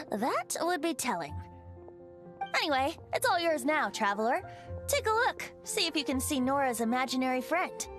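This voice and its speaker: A woman's voice: high-pitched, sing-song, a little slow, music in the background. A woman voicing a youthful boy bard in a video game, breezy and carefree, with a lilting, teasing and coy delivery. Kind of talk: video game character dialogue.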